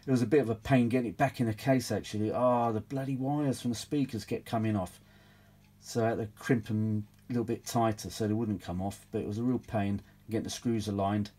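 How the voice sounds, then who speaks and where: A British man with a fairly low, very mellow and relaxed voice talks off the cuff in a casual, rambling way. He sounds world-weary and grumbles as he goes, with the odd mild swear word. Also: a little fast. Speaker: vintage audio repair hobbyist presenting his own videos. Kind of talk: casual rambling workbench chat.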